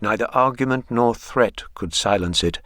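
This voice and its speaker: A man's voice: mid-range voice, moderate pace, sharp tone, dry recording, natural voice. A man acting as the grave narrator of a literary radio drama. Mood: brooding, measured. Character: grave literary narrator telling a troubled man's story.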